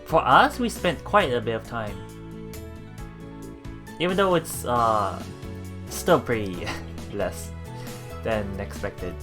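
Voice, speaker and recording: Female game streamer, extremely low pitch, lively delivery, reads story text aloud with casual playful commentary. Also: slow, long pauses, over music. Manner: playful, expressive. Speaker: let's play streamer.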